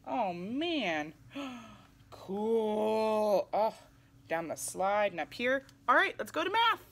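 A woman reading a picture book aloud to young children in an animated, exclamatory way. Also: medium pitch, slow pace, slight background noise. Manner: animated, exclamatory, with audible gasps. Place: small indoor room, seated in armchair.

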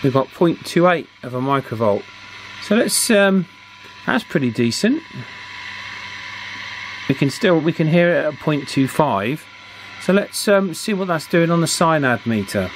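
A man's voice: fairly high pitch, very animated, a little slow, long pauses, a little hiss or hum, rough recording. A man giving an informal hands-on demonstration, hesitant and thinking aloud as he works. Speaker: radio repair hobbyist presenting. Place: indoor electronics workbench.

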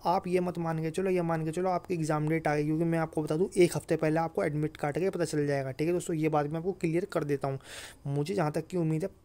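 Mellow man explaining informally. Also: on the high side, steady tone, fast talker. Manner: repeats check-in phrases to listeners. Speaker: host of an exam news channel.

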